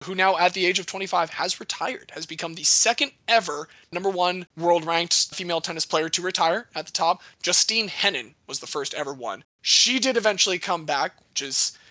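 A young man hosting a casual sports podcast, animated and jokey, swearing now and then. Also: high voice, brisk, few pauses, dry recording. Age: young adult. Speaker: podcast host.

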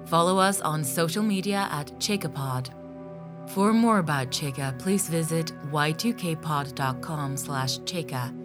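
A woman narrator reading scripted podcast credits and announcements. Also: sits low. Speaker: writer, director and narrator. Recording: music bed under the voice.

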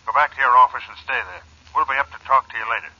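A man playing a brisk, informal, businesslike police desk sergeant in a 1940s American radio drama. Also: medium pitch, fairly even intonation, bright, forceful tone, husky. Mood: brisk, accommodating. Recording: muffled telephone sound, old radio broadcast.

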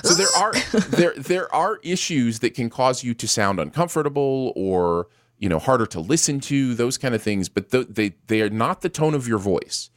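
A man's voice: medium pitch, lively intonation, normal speed, hardly any pauses, dry recording, studio quality. A man co-hosting a podcast and live stream who rambles a little, repeating words and using many fillers. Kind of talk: casual chat.

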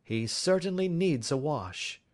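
A man voicing a shrewd male detective who speaks in a dry, assured, measured way. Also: fairly high pitch, lively intonation, voice pushed up. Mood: wry.

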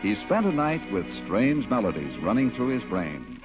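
A man's voice: lowish voice, sing-song, normal speed, music in the background. An American man working as a 1940s radio announcer, reading scripted copy in an emphatic, polished sales style. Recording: muffled 1940s radio recording.

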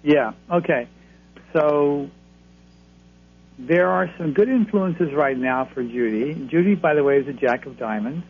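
Male astrologer guest, reassuring, gravelly, phone-line sound. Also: on the high side, measured pace. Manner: reassuring, advisory. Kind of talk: call-in radio readings. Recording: phone line, faint hum.

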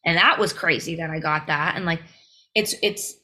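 Forceful, lower-voiced woman singer talking shop. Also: normal speed. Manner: emphatic, talks in bursts with many "like" fillers and restarts. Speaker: touring singer, podcast guest. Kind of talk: casual interview chat.

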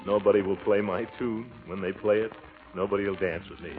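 An actor playing a pompous, easily wounded middle-aged gentleman suitor in an old radio comedy, with a chuckling, fruity, mock-courtly delivery. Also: lowish voice, lightly acted. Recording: tinny old radio recording, music behind.

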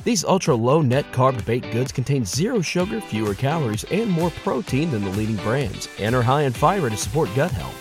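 A man's voice: medium pitch, big pitch swings, fairly quick, hardly any pauses, over music. A man reading an upbeat, polished scripted advertisement. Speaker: ad announcer. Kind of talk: scripted ad read.

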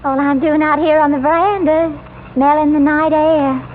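Through a tinny, slightly noisy old radio recording comes an actress as a young Southern woman. Her voice is high and soothing, in 1950s radio drama style. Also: fairly even intonation, moderate pace, close to an everyday voice. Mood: soothing, dreamy. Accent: American South.

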